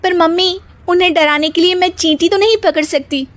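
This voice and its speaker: A woman voicing a young daughter-in-law in a cartoon, shrill and whiny, easily frightened. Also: steady tone, fairly quick. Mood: scheming. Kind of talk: cartoon voice acting.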